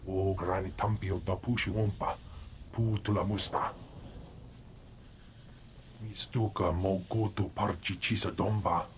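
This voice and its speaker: A brusque male alien from a space game, speaking in an invented tongue. His voice sits low, with faint ambient noise behind it. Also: steady tone, a little slow, pauses often. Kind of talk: video game dialogue in an invented alien language.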